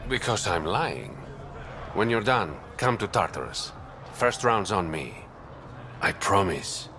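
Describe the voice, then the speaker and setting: A sly smooth smuggler dude in a game, deep voice that moves around a lot, teasing. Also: male voice, sing-song, takes it slowly, background noise, full character voice. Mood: teasing, confident. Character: suave, roguish adult male smuggler and informant. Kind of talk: video game dialogue acting.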